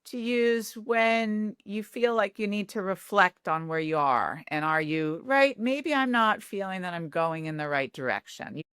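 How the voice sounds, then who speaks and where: A woman psychologist, a guest in an interview, explaining in an animated way and often adding "right?". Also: medium pitch, measured pace, few pauses, clear, resonant tone, no room sound, studio quality. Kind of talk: interview conversation.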